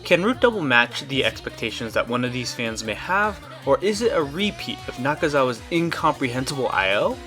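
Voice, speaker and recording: A man with a medium-pitched voice delivers scripted narration as a game reviewer. He presents it as a prepared review, read aloud to the listener. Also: big pitch swings, brisk, hardly any pauses, pushed and bright, soft background music.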